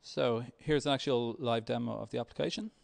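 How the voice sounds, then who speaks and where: Man with creaky voice, halting tech presenter. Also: medium pitch. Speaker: software developer presenting at a tech meetup. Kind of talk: conference talk with live demo.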